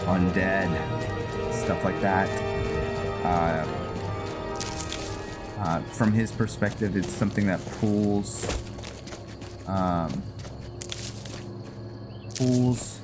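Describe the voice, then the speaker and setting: A man's voice: deep voice, very slow, long pauses. A man giving casual gameplay commentary, musing and hesitant as he thinks aloud. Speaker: gamer commentating a roleplay playthrough. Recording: loud music over voice. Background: loud game music.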